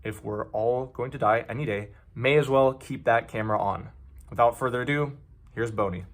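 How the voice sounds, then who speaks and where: A man's voice: medium pitch, normal speed. A man hosting a podcast, chatting casually and often saying "like" and "you know", recorded on a lapel mic. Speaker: podcast host. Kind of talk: casual interview chat. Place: indoor venue with background noise. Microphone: lapel mic on collar.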